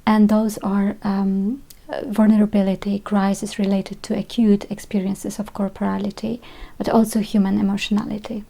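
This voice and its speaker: Woman, artist, reflective, hesitant pauses, dark mellow tone. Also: medium pitch, little pitch movement, vocal fry. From Poland. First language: Polish. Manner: measured, with frequent hesitations. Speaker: visual artist. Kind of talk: reflective talk on her art practice.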